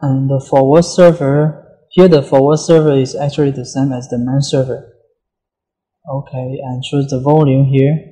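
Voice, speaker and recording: A man giving an unscripted step-by-step software walkthrough in a halting way, often pausing mid-sentence. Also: mid-range voice, low-quality recording. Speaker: software tutorial presenter.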